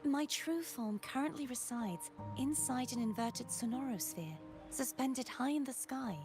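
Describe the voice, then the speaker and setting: A woman voicing a composed, enigmatic young woman in a video game, speaking formally and in measured phrases. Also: sits high. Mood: solemn. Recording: music playing over the voice.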